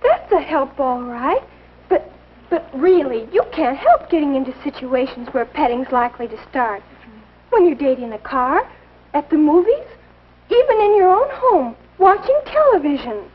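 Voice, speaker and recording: An outspoken, teasing teenage girl in an old classroom film. She speaks high and with very lively, pointed intonation. The sound is muffled and dated. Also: moderate pace. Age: young adult. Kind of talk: 1950s instructional film acting.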